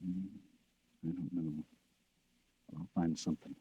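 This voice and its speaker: An actor playing a scheming, impatient husband in an old-time radio thriller, coaxing and brusque. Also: male voice, sits low, flat delivery, a little slow, breathy edge, narrow, tinny audio, exaggerated voice. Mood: furtive, tense. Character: scheming, impatient husband secretly poisoning his wife.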